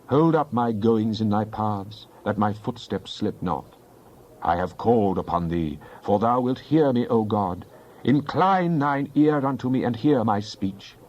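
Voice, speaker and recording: A man playing a devout psalmist in a dramatized Bible reading, praying in an earnest, deliberate, declamatory way. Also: lowish voice, expressive, darkish tone, dull audio. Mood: pleading, prayerful. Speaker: scripture reader.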